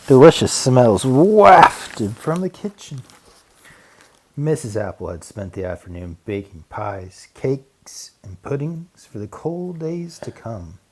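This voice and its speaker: A man reads a children's story aloud in a mid-pitched voice. He goes very slowly, with deliberate pauses and dramatic emphasis on key words. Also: big pitch swings, a little mellow, slight background noise. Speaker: audiobook narrator.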